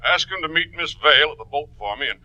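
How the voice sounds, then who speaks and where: Commanding sick male executive, very forceful edge, lively pitch, coughing between orders. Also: quick pace, muffled recording. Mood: ailing, bossy. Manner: commanding, curt instructions. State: coughing with a cold. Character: sick, self-important businessman laid up with a cold. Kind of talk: 1940s radio acting.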